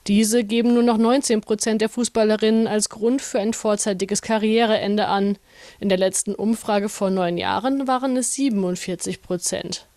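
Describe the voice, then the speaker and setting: A woman's voice: medium pitch, fairly even intonation, moderate pace, dark tone, dry recording. A woman reading a scripted sports news report as a radio reporter.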